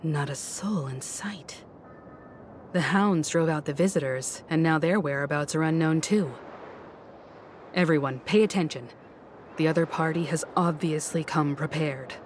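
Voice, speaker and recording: An actress voicing a cool, poised, mature woman leader in a video game, formal and deliberate. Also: low-pitched, slight background noise. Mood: guarded alertness.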